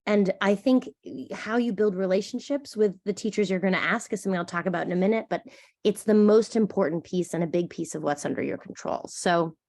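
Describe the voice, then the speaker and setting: A woman presenting on college admissions in a webinar, a little stuffy from a cold, chatty and animated. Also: mid-range voice, a little fast. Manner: chatty, animated, thinks aloud with fillers.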